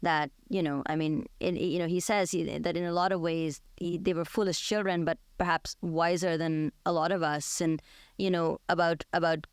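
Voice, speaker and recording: Smooth-voiced show host. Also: medium pitch, little pitch movement, moderate pace, no room sound, clean studio sound. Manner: thoughtful, conversational. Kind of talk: radio discussion.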